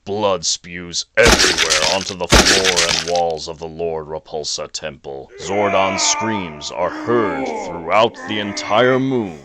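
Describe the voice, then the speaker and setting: A man's voice: low voice, slow, few pauses. A man reading scripted narration for a fan-made audio drama. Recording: noisy home recording with clicks.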